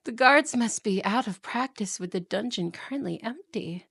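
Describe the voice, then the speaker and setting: Fantasy roleplay wicked stepmother queen, female performer: higher-pitched, unhurried, imperious and condescending, recorded very dry. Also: expressive, vocal fry, a bit bright, slightly rough, dry recording, heavily acted. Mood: stern, scolding. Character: haughty, cruel evil queen and wicked stepmother. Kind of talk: fantasy ASMR roleplay acting.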